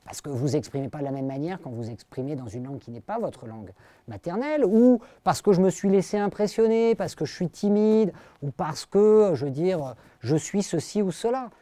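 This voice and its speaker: A man's voice: a little high, lively intonation, warm tone, dry recording. A middle-aged man giving an academic philosophy lecture, explaining carefully to his audience with frequent hesitations and fillers. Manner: hesitant, full of pauses and fillers; explanatory, addresses listeners directly.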